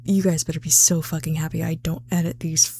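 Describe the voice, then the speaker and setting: A woman ASMR creator in a casual, unscripted chat, flustered and self-deprecating, often dropping into soft, breathy tones. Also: deep voice, little pitch movement, brisk.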